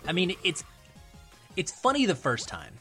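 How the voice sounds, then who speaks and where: A man's voice: high-pitched, huge pitch swings, normal speed, bright, forceful tone, faint music behind. A man giving emphatic, opinionated film commentary in a casual podcast conversation.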